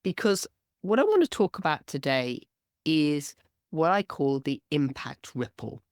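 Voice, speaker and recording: Low, hoarse woman host with a cold, earnest solo podcast talk, dry close mic. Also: sing-song, unhurried, a little mellow, dry recording. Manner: earnest, explanatory.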